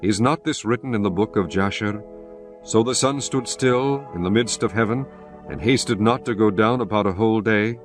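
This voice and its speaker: Low-voiced solemn male narrator. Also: normal speed, music in the background. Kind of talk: scripted scripture narration.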